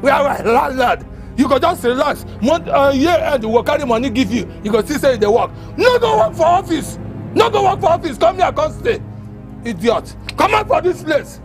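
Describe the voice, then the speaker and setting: High-pitched, ranting Nigerian tenant played by a man. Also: lively intonation, moderate pace, soft background music. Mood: defiant, scornful. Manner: loud, taunting, repetitive ranting. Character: brash, mouthy male tenant, drinking and defiant. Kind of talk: Pidgin comedy drama acting.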